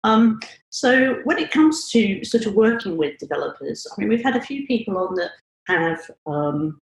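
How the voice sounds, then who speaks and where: A woman's voice: medium pitch, sing-song, normal speed, gravelly. A woman hosting a podcast interview, friendly and a bit hesitant, with frequent ums. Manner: friendly, hesitant with many "um"s, polite British phrasing. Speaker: podcast host, senior analyst. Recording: roomy echo.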